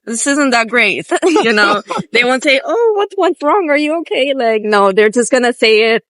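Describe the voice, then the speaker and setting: Lively young woman, laughing often. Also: a little high, normal speed, dry recording. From Romania. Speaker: interviewee. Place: outdoors on a street.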